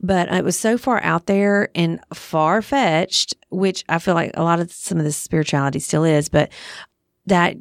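A woman's voice: lowish voice, normal speed, no echo, studio quality. A woman in her thirties or forties hosting a podcast in a casual, frank way, thinking aloud as she goes. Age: 30s to 40s. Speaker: podcast host. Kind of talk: casual conversational podcast chat.

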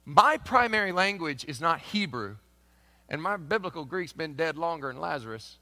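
Kentucky pastor with a bright, emphatic voice. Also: male voice, a little high, animated, moderate pace. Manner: swings from loud emphatic proclamation to soft conversational asides. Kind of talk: sermon. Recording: long hall reverb.

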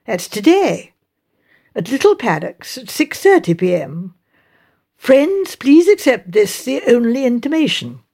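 An eager English wife, as a woman narrator plays her, coaxes with very mobile pitch. She is soothing and admiring toward her husband, in an audiobook mystery. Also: sing-song, unhurried, a little mellow, full character voice. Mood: excited.

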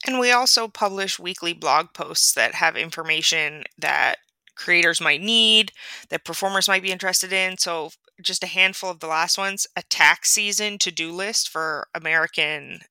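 A woman's voice: on the low side, moderate pace, sharp tone, no room sound. A woman who co-runs a coaching site, speaking on an interview in an earnest, self-deprecating way. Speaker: coaching site co-founder guest. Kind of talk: casual interview chat.

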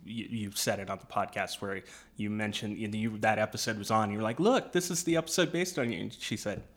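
A man's voice: lowish voice, a little fast. Chatty male podcast co-host. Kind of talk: casual interview chat.

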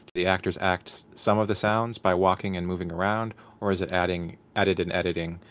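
A man moderating an informal Q&A, reading out questions and speaking a little haltingly between them. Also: low-pitched, fairly even intonation, a bit bright.

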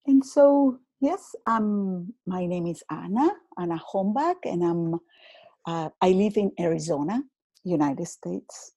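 Slow, soft-spoken woman with a Venezuelan accent. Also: lowish voice, lively intonation, slightly rough, no echo, clean studio sound. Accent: Venezuelan Spanish. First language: Spanish. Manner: slow, reflective, with many fillers and repeats. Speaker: guest, business coach. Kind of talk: casual interview conversation.

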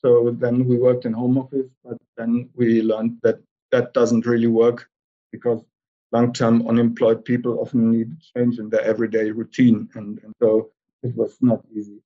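A man speaking as a panel presenter, plain and halting, with restarts. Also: mid-range voice, completely flat delivery, lo-fi sound. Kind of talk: casual presentation.

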